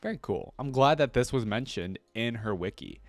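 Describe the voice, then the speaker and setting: Male reaction host, medium pitch, chatty read-and-comment style with questioning asides, very little room sound. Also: animated, moderate pace, dry recording. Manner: conversational, thinking aloud with questions and asides. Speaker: host of a fan reaction video. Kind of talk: casual commentary mixed with reading aloud.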